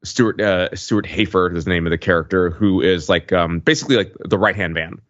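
A man co-hosting a podcast, speaking casually and haltingly, repeating words as he works out his thought. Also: sits low, steady tone, no room sound. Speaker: podcast host. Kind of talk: casual discussion. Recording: slightly dull, dry lo-fi sound.

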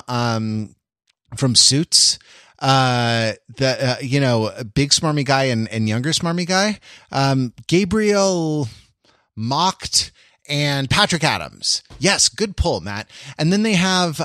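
A man's voice: on the high side, a bit bright, no room sound, clean studio sound. An American man in a casual pop culture podcast discussion, talking in a digressive, discursive way and often trailing off mid-thought. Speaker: podcast panelist.

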